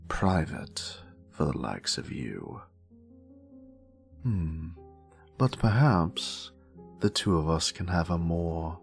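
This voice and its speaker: Man acting as a sassy British wizard, grumpy scholar, very slow drawl, swooping intonation, dry sarcasm, audio roleplay. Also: low voice, creaky, exaggerated voice. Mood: bitter, contemptuous, then condescending, inviting. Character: grumpy, sassy male wizard, exiled former professor. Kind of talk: scripted character monologue, audio roleplay.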